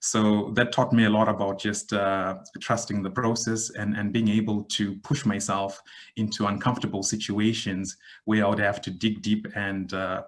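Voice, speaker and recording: A man in his thirties from Zimbabwe, a podcast guest giving a measured, reflective account with frequent pauses. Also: fairly low pitch. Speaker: guest, digital transformation expert. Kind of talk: podcast interview answer.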